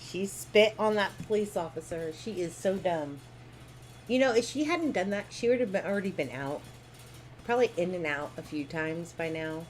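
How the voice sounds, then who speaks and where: A woman with a fairly low voice co-hosts a casual live stream. She delivers blunt, opinionated commentary in a plain, unscripted way. A faint noise sits behind her voice. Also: lively intonation, moderate pace, poor audio. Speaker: co-host.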